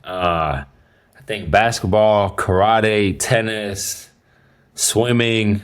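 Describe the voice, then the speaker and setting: Twenties male athlete guest, low-pitched, easygoing, rambling, full of fillers. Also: very slow, clear, resonant tone. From Dominican Republic and Belgium. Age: 20s. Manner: relaxed, rambling, many fillers and restarts. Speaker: professional basketball player, guest. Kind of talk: casual interview answers.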